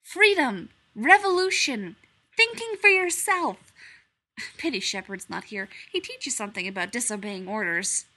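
Woman reader voices a smug, sneering male stranger; bright, raised, contemptuous. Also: medium pitch, expressive, fairly quick, hardly any pauses. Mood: scornful. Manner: sneering, scornful.

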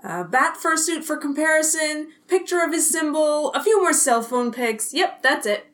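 A woman's voice: high voice, lively intonation, moderate pace, a bit bright. A woman playing a boyish, wisecracking male police detective, playful and enthusiastic. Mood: earnest.

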